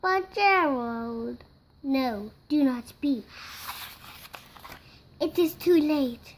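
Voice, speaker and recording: A five-year-old child reading a picture book aloud in a halting, word-by-word way, acting out the parts. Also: high voice, expressive, slight background noise. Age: child, five years old. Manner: slow, halting word-by-word reading with acted-out sneezes.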